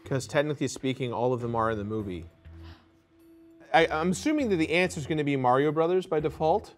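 Guy in his 30s on a quiz panel, highish voice, chatty, explains stuff, faint music. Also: lively intonation, fairly quick. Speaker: quiz contestant, guest. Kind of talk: casual panel chat. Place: indoor studio set. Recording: music bed behind the voice.

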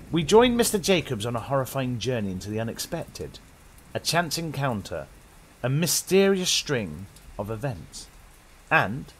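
A young British man narrates a horror tale like an old radio host. His delivery is dramatic and animated, with deliberate pauses, over faint rain-like ambience. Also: mid-range voice, slight background noise.

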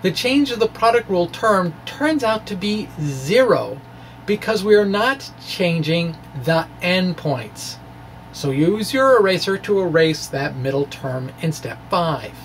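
Guy in his 40s doing a physics lecture, takes it slow, kinda wry and playful, bit of noise behind him. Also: high-pitched, poor audio. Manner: playful, wry dramatic delivery. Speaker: physics presenter. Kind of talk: video lecture, part scripted reading, part informal explaining. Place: small indoor room with bookshelves. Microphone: microphone on a stand close in front. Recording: faint steady background noise.

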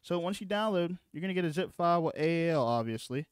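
Man, smooth clear voice, dry close sound, informal tutorial delivery, frequent check-ins. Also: on the high side, animated, fast talker, no echo. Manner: informal, repeatedly checking in with "okay" and "all right". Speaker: tutorial presenter. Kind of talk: casual screen-recorded software walkthrough.

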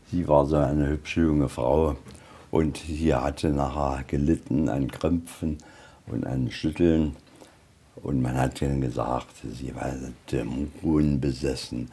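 A man with a husky voice giving an informal, explanatory guided tour as a museum guide. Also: a bass voice, warm tone. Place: indoors in a museum.

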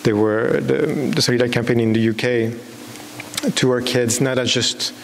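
A man in his thirties speaks as a filmmaker at a public talk, feeling his way through sentences and often restarting them. His pitch sits in the middle of the male range. The hall rings with long reverb, and a clear hiss runs underneath. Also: steady tone. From Belgium. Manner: halting, searching for words, with repeated false starts. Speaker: documentary filmmaker. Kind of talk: unscripted answer at a public talk. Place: on stage in a large dark hall with an audience.

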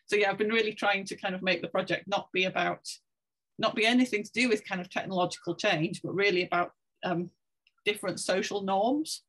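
A woman's voice: medium pitch, steady tone, fairly quick, fairly bright tone, rough recording. A woman speaking as a panelist on an online discussion, reflective and hesitant with many fillers. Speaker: panelist, researcher. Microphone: video call laptop mic.

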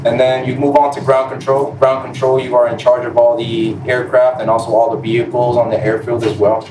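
A man's voice: medium pitch, flat delivery, a little fast. A man in his twenties from Puerto Rico and Florida, explaining his job as an airman on a panel, politely and off the cuff. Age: 20s. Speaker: panel guest, air traffic controller in the Air Force. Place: large indoor room, seated on a stage. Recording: roomy echo, distant mic, noisy room.

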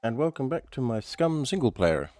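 A man's voice: medium pitch, big pitch swings, normal speed, darkish tone, dry recording. A man with a stuffy, nasal voice from a cold, chatting casually while commentating a survival game. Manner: chatty, rambling, mild swearing. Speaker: gaming YouTuber.

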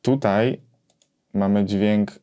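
A man in his thirties explaining a gear comparison conversationally, with hesitant pauses as he thinks. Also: fairly low pitch, steady tone, warm tone. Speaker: gear reviewer and co-host. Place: small home music studio, indoors.

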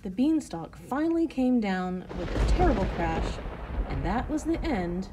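A woman storyteller reading a scripted children's tale in measured phrases. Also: mid-range voice, very animated, background noise.

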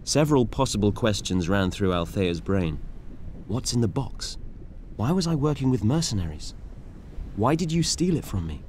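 Low-voiced man reading drama over ambience. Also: very animated, normal speed, a little mellow, slight background noise. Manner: acts out dialogue expressively. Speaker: audiobook narrator. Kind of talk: dramatic scripted story narration.

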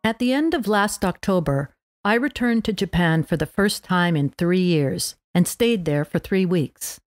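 A woman's voice: fairly low pitch, expressive, measured pace, dry recording, soft background music. A woman podcast host reading a scripted narration aloud for language learners.

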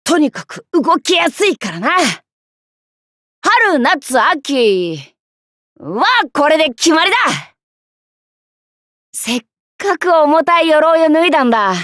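Casting: cocky tomboy knight for an anime game, bright forceful voice, highly animated, rough boisterous delivery. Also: female voice, slow pace, pauses often, no room sound, studio quality, heavily acted. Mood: proud, cheerful. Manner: rough masculine slangy speech, boisterous, swaggering. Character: brash, cocky young tomboy knight, hot-blooded fighter. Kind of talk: Japanese anime game character voice lines.

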